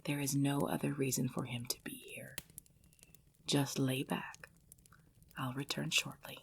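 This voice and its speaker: A woman voicing a commanding female vampire clan leader, possessive and tender, in a dark, solemn ASMR roleplay, often dropping into a whisper. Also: a bass voice, little pitch movement, a little slow, vocal fry, slight background noise, heavily acted. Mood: hushed, reassuring.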